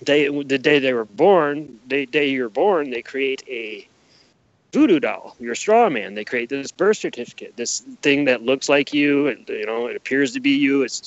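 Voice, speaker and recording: A man's voice: mid-range voice, no echo. A man speaking as a podcast guest, explaining his views emphatically, repeating key phrases and posing rhetorical questions. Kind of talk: conspiracy-minded explanatory interview talk. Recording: dull, lo-fi sound.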